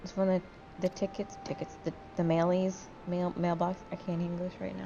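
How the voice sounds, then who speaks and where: Tired, low-voiced woman gamer, slightly muffled. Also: level tone, brisk, noisy background. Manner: rambling, informal, sweary. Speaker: gaming video host and player. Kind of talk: casual gaming chat with friends. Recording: dull lo-fi voice chat, faint music.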